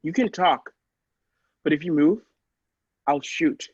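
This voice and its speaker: A woman's voice: low voice, slow pace, darkish tone, breathy edge, dry recording, highs cut off, full character voice. A woman playing an alarmed but defiant doctor in a classic radio play, speaking with dramatic emphasis. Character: alarmed, defiant doctor facing a murderous robot.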